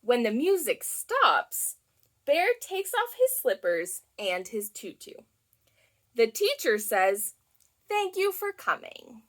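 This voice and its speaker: A woman reading picture books aloud to young children as a storytime host, with an expressive, deliberate delivery that leans on key words. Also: fairly high pitch. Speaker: children's librarian hosting a storytime.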